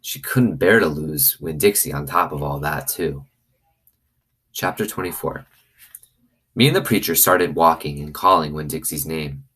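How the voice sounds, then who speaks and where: A man's voice: extremely low pitch, unhurried, fairly bright tone, slightly rough. A man reading a children's novel aloud like a teacher, with commentary along the way. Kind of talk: expressive storybook reading with commentary.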